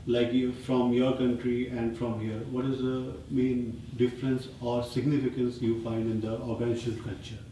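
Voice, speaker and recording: A man's voice: mid-range voice, little pitch movement, moderate pace, hardly any pauses, warm tone. A man asking a question as a participant in a session. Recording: very echoey room, distant, faint noise.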